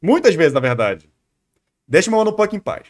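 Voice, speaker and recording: A man's voice: fairly high pitch, very animated, moderate pace. A man with a Brazilian accent giving casual, slangy, argumentative reaction commentary as a streamer.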